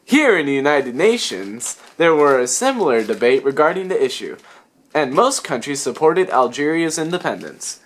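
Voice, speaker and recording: A man's voice: fairly high pitch, big pitch swings, normal speed. A young man reading a scripted history narration for a student project. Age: young adult.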